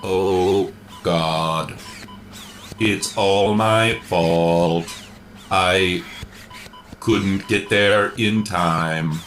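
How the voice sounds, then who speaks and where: A man playing a grief-stricken, self-blaming cyborg boy hero in a radio serial, with a halting, solemn, robotic delivery. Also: low-pitched, fairly even intonation, a bit bright, a little hiss or hum, exaggerated voice. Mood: anguished, guilty. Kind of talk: mock radio serial acting.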